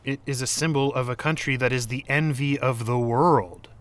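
A man's voice: mid-range voice, steady tone, moderate pace, a bit bright, smooth, lightly acted. An actor playing a proud, defensive Canadian man in a naturalistic audio drama, earnest and argumentative, well-meaning but condescending.

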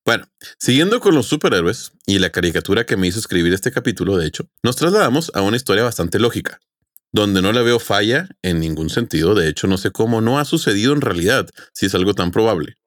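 A man's voice: lowish voice, very animated, quick pace, no room sound, studio quality. A man with a Mexican accent, a podcast host telling stories to friends in a jokey, colloquial way. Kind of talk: casual podcast storytelling with friends.

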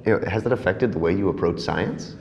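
A man's voice: deep voice, a little fast, gravelly, darkish tone, in a large hall, highs cut off. A man hosting a podcast interview, asking encouraging questions in an upbeat way. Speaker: podcast host.

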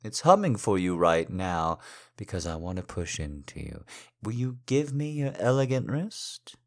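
Man acting as adoring male lover, deep voice, swooping intonation, teasing, gently commanding, seductive breathy murmur, erotic audio roleplay. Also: very animated, heavily acted. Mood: aroused, seductive. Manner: flowery, seductive, drawn-out phrasing with breathy whispers.

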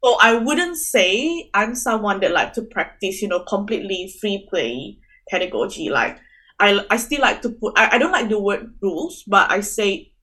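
A woman's voice: medium pitch, big pitch swings, moderate pace, a bit bright, breathy edge. Earnest young woman from Indonesia. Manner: earnest, many fillers like "you know". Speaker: early childhood teacher, guest. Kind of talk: casual interview chat.

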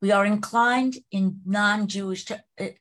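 A woman in an online class reads a passage aloud at a steady, careful pace. Her voice sits at a medium pitch. She is heard over a video call. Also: a little slow. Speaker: class participant. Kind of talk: reading a text aloud.

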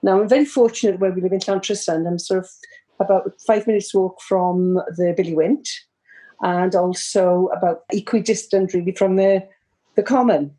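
An older woman with a South Wales accent chats as a guest on a community podcast. Her voice is warm and mellow, and she talks in a lively, forthcoming way. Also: mid-range voice, moderate pace, poor audio. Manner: chatty, lively, says she is loud. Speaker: retired teacher, guest.

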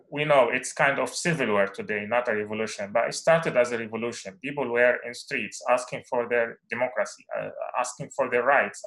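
A man's voice: mid-range voice, a bit bright, poor audio. A young man from Syria, a native Arabic speaker, giving a personal talk as guest speaker, speaking with frequent hesitations and restarts. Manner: hesitant, many fillers and restarts.

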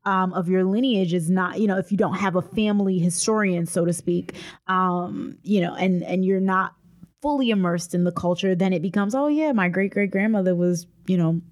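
A woman's voice: on the low side, moderate pace, no echo, studio quality. A woman hosting a panel discussion, speaking conversationally and using many fillers. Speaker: host.

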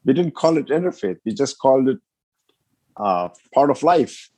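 A man's voice: medium pitch, sing-song, normal speed, mellow, breathy edge, no room sound. A man from Ethiopia, speaking as a guest in a podcast interview. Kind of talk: interview answers.

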